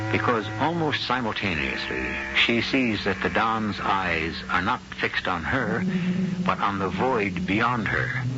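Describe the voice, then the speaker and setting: A man playing the grave host of a 1970s radio mystery, narrating in a measured, ornate, suspenseful way. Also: on the low side, noisy background. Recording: dull old radio broadcast with music.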